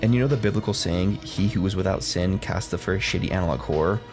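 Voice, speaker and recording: Male commentary host, low register, blunt sarcastic delivery, casual scripted style, clear music bed. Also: fast talker. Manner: sarcastic, blunt, swears freely. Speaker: video essay host. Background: background music.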